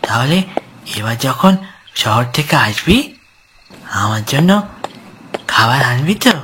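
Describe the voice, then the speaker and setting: A hungry little brother played by a woman wheedles and begs in a horror cartoon. The voice sits low for a female one, with faint crickets behind it. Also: animated, takes it slowly, a bit bright, a little hiss or hum, heavily acted. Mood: wheedling. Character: greedy, wheedling little brother, a young boy. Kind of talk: Bengali horror cartoon dub.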